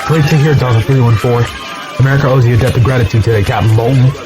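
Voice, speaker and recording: An actor playing a brisk, businesslike air traffic controller with a clipped official manner in an audio drama. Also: male voice, medium pitch, steady tone, over music, close to an everyday voice. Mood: grateful. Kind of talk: audio drama acting, radio procedure.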